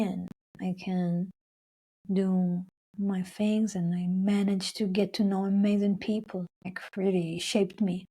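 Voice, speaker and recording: A woman's voice: medium pitch, mellow, slightly rough. A young adult woman singer, a Hebrew speaker talking in English, telling her story in an interview with many fillers and restarts. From Israel-Palestine. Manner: rambling, many fillers and restarts, acts out her own past words.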